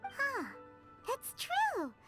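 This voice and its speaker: An actress voicing a tiny, excitable fairy companion in a video game, bubbly and pushy. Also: female voice, extremely high pitch, extremely slow, exaggerated voice. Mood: chirpy. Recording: game music loud behind.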